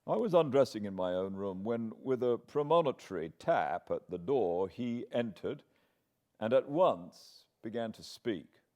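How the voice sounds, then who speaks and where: A British man reading a classic novel aloud as an audiobook narrator, measured, solemn and dramatic. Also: lowish voice, expressive, dark tone, dull audio. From Britain.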